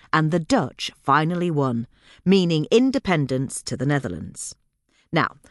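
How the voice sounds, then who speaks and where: A woman's voice: low-pitched, dry recording, studio quality. A woman reading a scripted magazine article as a podcast narrator.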